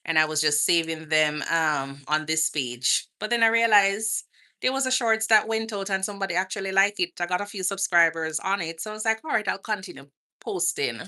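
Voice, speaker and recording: A woman's voice: mid-range voice, a little fast, sharp tone. A woman from Jamaica with a Jamaican accent, chatting casually on a livestream from outdoors and greeting viewers by name. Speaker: vlogger and nurse.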